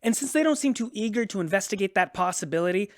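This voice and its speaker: A self-important busybody passenger in a sci-fi radio drama. He is officious and suspicious, stressing his points in a light, highish voice recorded very dry. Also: lively intonation, quick pace, dry recording. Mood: conspiratorial, determined. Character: officious, suspicious male passenger activist, self-appointed investigator.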